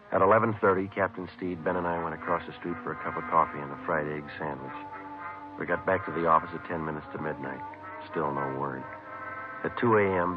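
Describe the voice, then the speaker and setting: Very deep, deadpan radio detective. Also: male voice. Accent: American. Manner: clipped, deadpan, matter-of-fact. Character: terse, businesslike male police detective narrating his case. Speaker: detective narrator. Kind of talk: 1950s radio crime drama narration. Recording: tinny vintage radio recording, music.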